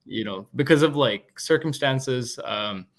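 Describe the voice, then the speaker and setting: A man's voice: mid-range voice, unhurried. Brief: young man in his twenties, guest on a podcast, laid-back and chatty, mid-range voice. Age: 20s. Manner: relaxed, chatty storytelling. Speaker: guest, product manager. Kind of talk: casual interview talk.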